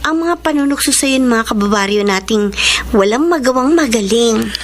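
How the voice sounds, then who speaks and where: Actress as a high-voiced, caring teenage girl. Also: lively intonation, moderate pace, slight background noise, lightly acted. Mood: sympathetic. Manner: earnest, concerned. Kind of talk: Filipino radio drama acting.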